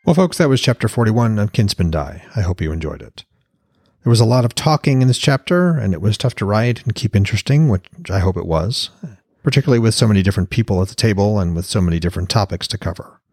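Very deep-voiced man narrating fiction. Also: lively intonation, fast, a little mellow, no room sound, clean studio sound. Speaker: author narrating his own novel on a podcast. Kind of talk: audiobook-style fiction narration.

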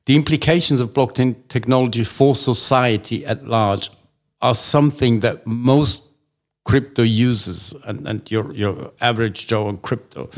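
An Austrian-born man talks through big ideas in an easy, rambling way. His voice sits at a middling pitch, and he restarts his sentences often as he thinks. It is a relaxed conversational discussion. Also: measured pace. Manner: expansive, thinks aloud with many restarts. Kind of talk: casual discussion.

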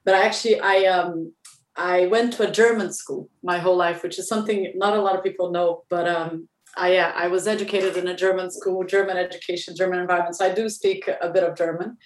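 A woman executive from Brazil, a guest in a business interview, talking in a measured way and thinking aloud with restarts. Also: lowish voice, fairly even intonation, roomy sound, recorded from a distance. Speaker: company president, podcast guest.

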